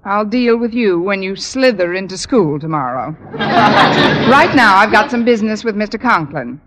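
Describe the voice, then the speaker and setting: Woman playing a wry schoolteacher, animated, old radio recording. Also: medium pitch, moderate pace, a loud crowd, close to an everyday voice. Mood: polite, wry. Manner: dry, sardonic, polite to her superior. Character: wry, quick-witted adult female schoolteacher. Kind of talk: 1950s radio comedy acting. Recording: noisy old radio recording, hall reverb.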